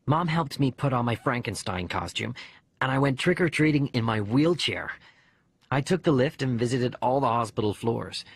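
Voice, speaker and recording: A man voicing a bereaved young boy who narrates his diary letters in an expressive, conversational audiobook reading. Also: medium pitch, moderate pace, husky, dry recording. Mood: chatty.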